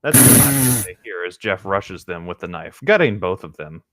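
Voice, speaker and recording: A man's voice: sits low, expressive, normal speed, no echo, studio quality. A man co-hosting a casual read-along, narrating a story and adding offhand comments. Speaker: co-host reading a story aloud.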